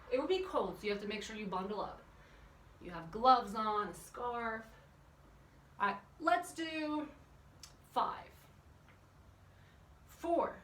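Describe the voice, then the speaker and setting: A woman's voice: high-pitched, a bit bright. A woman leading a children's workout, chatty and encouraging, putting questions to her young listeners as she instructs. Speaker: kids' exercise and yoga instructor. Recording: echoey room, distant mic, background noise.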